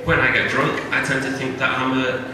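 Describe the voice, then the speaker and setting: Young Liverpudlian comic in an echoey club. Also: male voice, medium pitch, monotone, brisk, a crowd in the background. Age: young adult. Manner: self-deprecating, chatty, with filler "right?" tags. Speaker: stand-up comedian. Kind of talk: stand-up comedy set. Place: comedy venue with a live audience. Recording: echoey room, distant mic, audience laughter.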